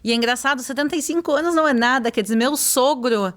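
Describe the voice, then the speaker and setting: A woman's voice: high voice, quick pace. A young woman, explaining things at length as a podcast guest. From Brazil. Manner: explanatory, uses "tu" and "pessoal". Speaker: podcast guest, tour guide. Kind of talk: casual podcast chat.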